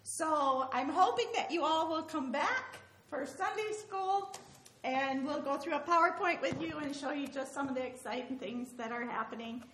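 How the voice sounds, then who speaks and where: Loud high-voiced woman in a church. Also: normal speed, echoey room, slight background noise, poor audio. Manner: cheerful, speaks up so all can hear. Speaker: missionary. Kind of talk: addressing a church congregation.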